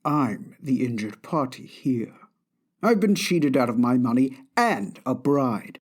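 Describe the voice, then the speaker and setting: A man playing a menacing, vengeful wronged suitor in a comic dub, formal and deliberate. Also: mid-range voice, expressive, breathy edge. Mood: cold, vengeful.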